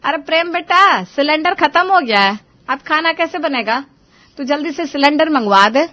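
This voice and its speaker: A woman's voice: high-pitched, expressive, moderate pace, sharp tone, voice pushed up. A woman voicing a cranky, complaining older mother-in-law in a moral-story cartoon, scolding and grumbling with exclamations. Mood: alarmed.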